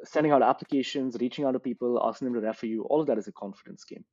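A man from India, a career coach, giving thoughtful, measured interview answers built around examples. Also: medium pitch, a little mellow, husky, dull audio.

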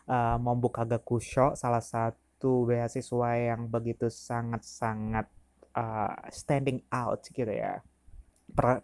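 A young man in his twenties recounts his own story in relaxed Jakarta slang, stopping often for fillers. His voice is warm and mellow, and it is recorded dry and close with no room sound. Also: medium pitch, dry recording. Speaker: university staff. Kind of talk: casual storytelling.

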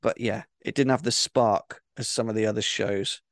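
A man's voice: fairly low pitch, steady tone, normal speed, fairly bright tone, dry recording, studio quality. A man co-hosting a podcast, talking in a laid-back, casual way with lots of fillers. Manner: laid-back fan talk with frequent fillers. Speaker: podcast co-host. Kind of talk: casual chat.

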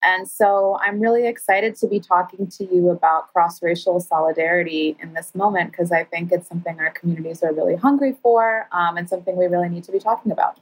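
A woman's voice: fairly low pitch, fairly even intonation, a little fast, few pauses, low-quality recording. A woman guest, an activist group co-founder from India who was raised in New York, introducing herself in an eager, friendly way. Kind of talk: casual interview chat.

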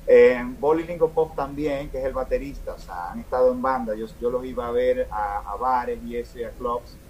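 A man's voice: medium pitch, moderate pace, darkish tone, highs cut off, a little hiss or hum. Rambling Dominican man, faint room ambience. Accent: Dominican Spanish. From Dominican Republic. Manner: rambling, many restarts and fillers. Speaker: band singer, interview guest. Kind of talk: casual interview.

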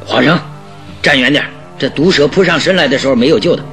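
A man playing a shrewd, composed master detective in a radio drama, explaining with deliberate authority. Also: fairly high pitch, a bit bright, faint music behind. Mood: urgent warning.

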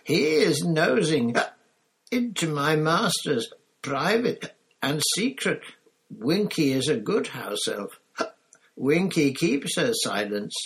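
A man voicing Winky, a squeaky drunk elf. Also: sing-song, slow, husky, exaggerated voice, poor audio. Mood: mutinous. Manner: broken grammar, slurred and mutinous. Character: drunk female house elf, stubborn and loyal.

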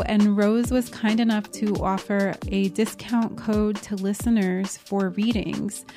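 Woman podcast host, upbeat and chatty, encouraging, mid pitch. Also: vocal fry, a little mellow. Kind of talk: casual podcast outro.

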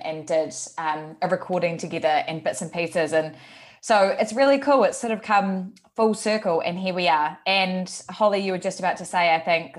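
Slightly low woman co-host, chatty. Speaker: podcast co-host. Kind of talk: casual podcast chat.

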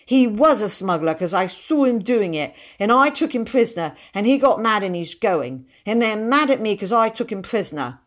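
A woman's voice: mid-range voice, big pitch swings, normal speed, hardly any pauses, voice pushed up. A woman voicing an earnest, proud and stubborn little boy in an audiobook, with dogged, childish, run-on speech. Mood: aggrieved. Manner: dogged, breathless run-on boasting, childish grammar. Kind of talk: audiobook character voice.

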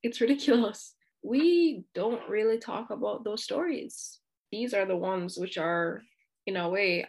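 A woman's voice: medium pitch, gravelly, breathy edge, low-quality recording. A woman from Jamaica, speaking as a student guest in an earnest, outspoken way with frequent asides. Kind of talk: candid conversational discussion.

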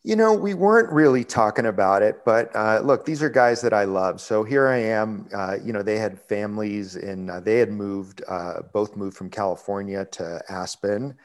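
A man in his forties, a restaurant executive, telling his career story in a relaxed, rambling way with lots of fillers. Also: on the low side, moderate pace, hardly any pauses. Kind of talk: conversational interview storytelling.

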